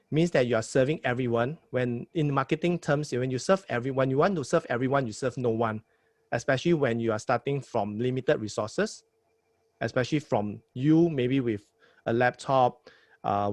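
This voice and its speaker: A man's voice: medium pitch, a little mellow, no room sound. A Singaporean man with a mid-range voice talks a newcomer through podcasting in an emphatic, repetitive way. His sound is close and dry, with no room around it. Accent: Singaporean English. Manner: emphatic, repeats words, tag questions like "right?". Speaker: podcast host advising a new host. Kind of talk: casual advice chat.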